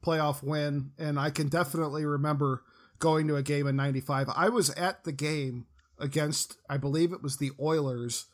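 A man's voice: a little high, steady tone, normal speed. A man in his thirties hosting a casual podcast, asking long rambling questions. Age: 30s. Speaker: podcast host.

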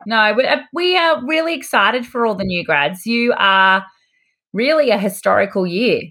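A woman's voice: medium pitch, lively intonation, normal speed, a bit bright. An Australian woman chatting casually as a podcast co-host. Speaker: podcast co-host, dental hygienist and educator.

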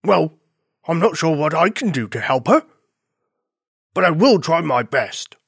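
A woman voicing a bored, good-natured male farm dog in a children's story, with a slow, drawn-out delivery. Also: sits low, sing-song, vocal fry, slightly rough, no room sound, studio quality, heavily acted. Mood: bored, obliging.

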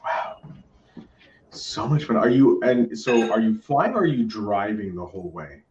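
A man's voice: lowish voice, unhurried, darkish tone, distant mic, highs cut off, slight background noise. A man hosting a podcast interview, chatty and enthusiastic, often restarting his sentences. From Canada. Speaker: podcast host. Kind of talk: casual interview chat. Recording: echoey roomy sound from off-mic.

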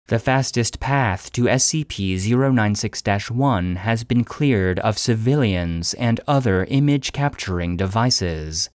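A man's voice: fairly low pitch, clear, resonant tone, dry recording, studio quality. A man voicing an impersonal facility database, reading classified logs in a formal, clinical manner. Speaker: database narrator reading an incident log. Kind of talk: scripted narration.

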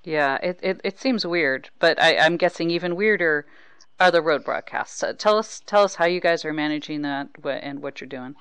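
A woman's voice: deep voice, moderate pace, a bit bright, clean tone, no room sound. A woman hosting a casual sports interview, conversational and openly approving in the way she talks. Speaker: sports podcast host.

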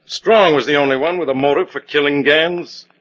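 A man's voice: fairly high pitch, moderate pace, a bit bright, lightly acted. An actor playing a persuasive, insistent male swindler in 1940s radio drama style. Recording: dull 1940s radio recording.

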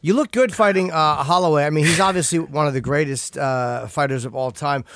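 A man's voice: on the high side, fairly quick, noisy background. A man hosting a casual interview, asking long rambling questions with self-deprecating asides. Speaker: podcast host.

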